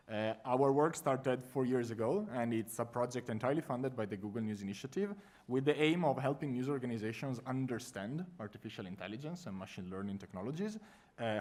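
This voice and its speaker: A man with an Italian accent presenting a conference workshop to a live audience, talking in a friendly, lively way with frequent fillers. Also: on the low side. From Italy.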